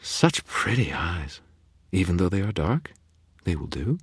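A man's voice: low-pitched, very animated, a little slow, breathy edge, no echo, studio quality, pitched above the performer's own voice, full character voice. A man voicing a sly, seductive female fairy who purrs and bargains with teasing whispers. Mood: coaxing. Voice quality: purring, teasing, whispery at times. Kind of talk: audiobook character voice.

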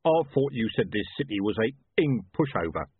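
A man voicing an impatient, slow-witted henchman, blunt and sulky, in a storybook reading. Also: lowish voice, sing-song, husky, no echo, phone-quality sound, full character voice.

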